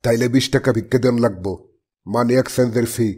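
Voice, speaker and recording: A man voicing a gruff, greedy beggar in a cartoon, curt and husky, with a Bangladeshi accent. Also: medium pitch, fairly even intonation, fast, darkish tone, close to an everyday voice. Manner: curt, dismissive. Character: gruff, greedy male head of street beggars.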